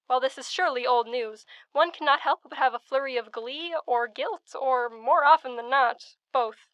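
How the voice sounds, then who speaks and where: A woman's voice: high-pitched, a bit bright, smooth, no echo. A woman playing a grave, dramatic old-time radio news correspondent, delivering scripted commentary in a solemn, oratorical way.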